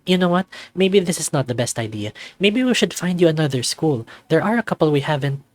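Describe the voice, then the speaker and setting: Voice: mid-range voice, expressive, a little fast, no echo, soft background music, voice pushed up, lightly acted. A performer, a man, voicing an anxious, doting mother in a furry visual novel, fussing and motherly. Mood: worried. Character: anxious, doting furry mother fretting over her son. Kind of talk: visual novel character reading.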